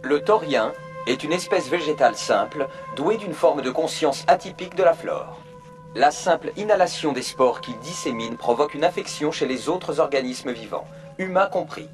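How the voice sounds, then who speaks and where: An emotionless virtual assistant from a video game , acted by a man, with a fairly high male voice. It is polite and procedural, reading out information in formal phrasing. Faint music plays behind it. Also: animated, moderate pace, lightly acted. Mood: impassive, procedural. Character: polite, impassive virtual assistant program at a corporate console.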